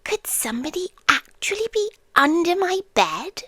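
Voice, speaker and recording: A British woman playing an obsessive, creepily devoted yandere girlfriend in an intimate ASMR roleplay, breathy and often whispering, lingering on short phrases. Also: extremely high pitch, big pitch swings, normal speed, fairly bright tone, no echo, heavily acted. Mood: teasing menace. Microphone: close microphone, dry intimate recording.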